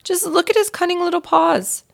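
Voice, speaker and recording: A woman voicing an excitable young female schoolteacher who gushes and exclaims in a storybook reading. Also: very high voice, moderate pace, creaky, voice pushed up, heavily acted. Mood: delighted, cooing. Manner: gushing, exclaiming.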